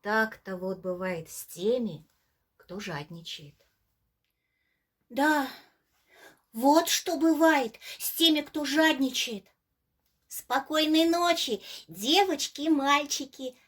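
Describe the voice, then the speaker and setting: A woman narrating a bedtime folk tale for children, theatrically, with hushed drops at the ends of phrases. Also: high voice, slow pace, pauses often. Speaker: storyteller, theatre actress. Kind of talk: expressive scripted bedtime story narration for children.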